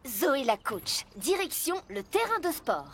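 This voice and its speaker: A woman's voice: high voice, big pitch swings, a little slow, a bit bright, a little hiss or hum, exaggerated voice. A woman voicing a cheerful, helpful truck mechanic in a children's cartoon, upbeat and explanatory. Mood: encouraging.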